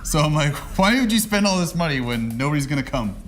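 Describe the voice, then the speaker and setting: Lively, wry young man with blunt opinions. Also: fairly high pitch, fast, soft background music. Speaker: podcast co-host. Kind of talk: casual chat.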